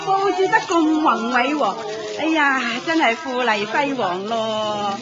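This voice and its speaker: An actress playing an effusive, chatty temple devotee in a Cantonese radio drama, gushing and repeating herself in a Hong Kong accent. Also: female voice, high voice, animated, slow. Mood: awestruck. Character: effusive, chatty, awestruck female temple worshipper. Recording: dull lo-fi recording with crowd bustle.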